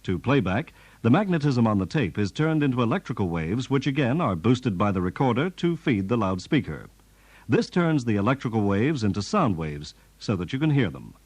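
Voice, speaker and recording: A man narrates like a 1960s instructional announcer, formal and explanatory. His intonation is lively and varied, and the sound is close and free of room echo. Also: medium pitch, normal speed, breathy edge.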